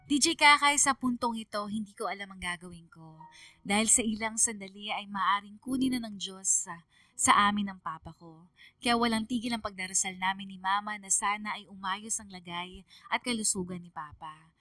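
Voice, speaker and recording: A woman's voice: mid-range voice, normal speed, dry recording, distant mic. A woman with a Filipino accent narrating a radio drama story in the first person, sorrowful and emotive. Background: soft music.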